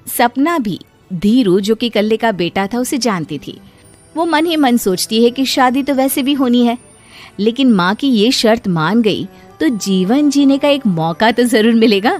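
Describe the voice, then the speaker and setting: A woman's voice: on the high side, lively intonation, a little slow, darkish tone, faint music behind. A woman reading scripted narration for a moral story.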